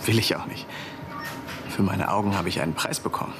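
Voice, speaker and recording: A man's voice: sits low, a little fast, background noise, natural voice. An actor playing a plain-spoken, proud male robot builder in a naturalistic radio drama. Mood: proud, stubborn. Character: stolid, proud robot builder, loyal friend.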